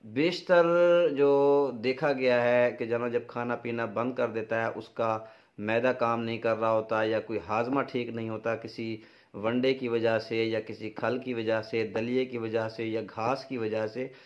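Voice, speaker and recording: A man's voice: mid-range voice, steady tone, unhurried. A middle-aged man with a Pakistani accent, explaining things in a practical, instructive way as a farming advice presenter. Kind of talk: informal instructional explanation to camera. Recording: dull, lo-fi sound.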